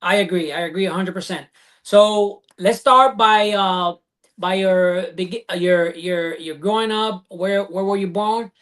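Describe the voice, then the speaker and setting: A man hosting an online interview show over a video call, effusive and halting with many fillers and restarts. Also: sits high, fairly bright tone. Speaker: show host.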